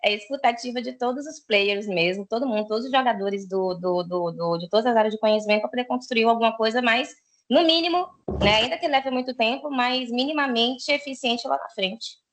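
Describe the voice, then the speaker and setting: A woman's voice: fairly high pitch, fairly quick, fairly bright tone. A woman from Salvador, asking a long question in an online discussion, thinking aloud with many restarts. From Salvador, Bahia, Brazil. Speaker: audience member asking a question.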